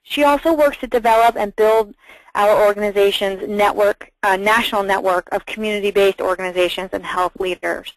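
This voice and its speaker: A woman's voice: medium pitch, fairly even intonation, a little fast, dry recording, poor audio. A woman moderating a webinar, reading housekeeping notes and speaker introductions in a careful, scripted way with small restarts.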